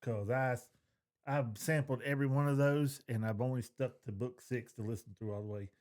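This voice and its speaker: A man's voice: mid-range voice, fairly even intonation, normal speed, darkish tone. A middle-aged man, co-hosting a podcast in casual chat, giving opinions in a plain-spoken, folksy way. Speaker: podcast co-host.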